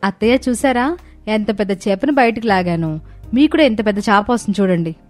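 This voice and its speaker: Young daughter-in-law female actor, playful and coaxing, expressive cartoon storytelling, light music underneath. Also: medium pitch, brisk, lightly acted. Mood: amused. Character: lively teasing young daughter-in-law, fond of fun. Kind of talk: animated moral-story dialogue.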